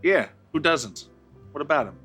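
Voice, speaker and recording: A man's voice: fairly high pitch, animated, moderate pace, bright, forceful tone, soft background music. A man voicing a friendly, folksy barkeep with drawling speech. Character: folksy male barkeep, friendly old-timer. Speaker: bartender.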